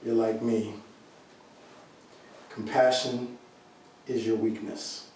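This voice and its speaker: A man's voice: on the low side, little pitch movement, slow pace. A man acting in a radio drama as an easygoing, worldly uncle who banters with affection and teases protectively. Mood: caring. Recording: very echoey, distant mic, faint music.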